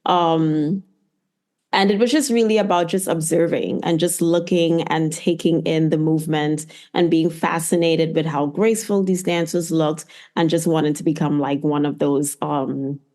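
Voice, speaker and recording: A woman's voice: low-pitched, fairly even intonation, moderate pace, darkish tone. A middle-aged woman from Trinidad and Tobago, speaking reflectively as a guest in an interview. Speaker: podcast guest, physical therapist.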